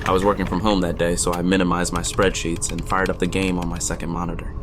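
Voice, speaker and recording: A man playing a wry gamer friend who narrates an eerie audio drama in a measured, suspenseful way. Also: low voice, little pitch movement, noisy background, close to an everyday voice. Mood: playful. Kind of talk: dramatic first-person storytelling.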